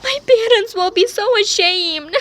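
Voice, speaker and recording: A woman's voice: very high-pitched, animated, unhurried, fairly bright tone, exaggerated voice. A woman playing a distressed, dramatic teenage girl in an audio drama. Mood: tearful, panicked. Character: distressed teenage girl being blackmailed over private photos.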